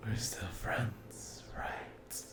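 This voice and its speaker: A man voicing a sadistic, possessive sleep paralysis demon in an ASMR horror roleplay, taunting and menacing in breathy whispers and gravelly growls. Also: drawn-out words. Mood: cold, condescending.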